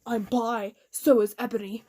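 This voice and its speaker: A woman's voice: on the high side, fairly even intonation, moderate pace, dark tone. A woman giving a theatrical, giggly, mock-dramatic reading of fan fiction with amused asides. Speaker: reader.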